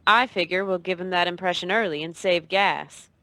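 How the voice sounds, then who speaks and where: Brazen noir femme fatale. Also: female voice, lowish voice, steady tone, normal speed, pushed and bright, no echo. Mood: cool, knowing. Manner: cool, brazen, wisecracking. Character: tough, teasing, dangerous female femme fatale operative. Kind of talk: 1940s noir radio play acting.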